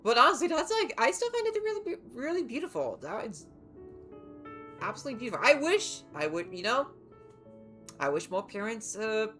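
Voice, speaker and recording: A woman's voice: a little high, sing-song, a little fast, fairly bright tone. A woman livestreaming a game with casual, chatty, rambling commentary and reactions. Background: game music.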